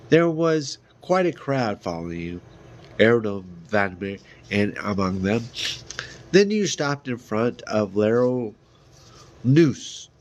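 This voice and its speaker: A man reading a fantasy novel aloud as an amateur, halting at times, with small stumbles and repeated words. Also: fairly low pitch. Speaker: amateur reader.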